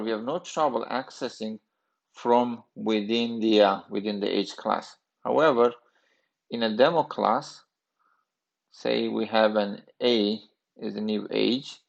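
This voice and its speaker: Gravelly man teaching code, halting. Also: on the low side, highs cut off. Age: 30s. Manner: halting, thinking aloud with fillers. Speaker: programming instructor. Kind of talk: screencast coding lesson.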